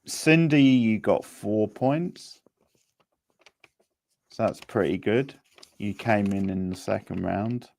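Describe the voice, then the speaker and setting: Male quiz host, casual and unhurried, deep creaky voice with a halting delivery. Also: a little mellow, dry recording. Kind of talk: casual live-stream quiz hosting.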